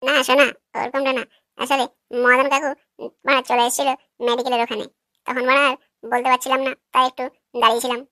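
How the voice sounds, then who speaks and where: A woman's voice: high-pitched, flat delivery, fast, bright, forceful tone, no room sound, studio quality. A woman voicing a brash, irritable, crude boyfriend who argues in a comedy cartoon. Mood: explaining. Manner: crude, argumentative.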